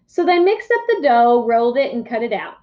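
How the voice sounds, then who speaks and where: A woman with a high voice reads a children's picture book as a teacher would. She is expressive and instructive, checking in with questions. The recording is a bit dull and lo-fi. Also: brisk. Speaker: teacher reading aloud. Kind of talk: picture-book read-aloud for young children. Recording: dull, muffled lo-fi sound.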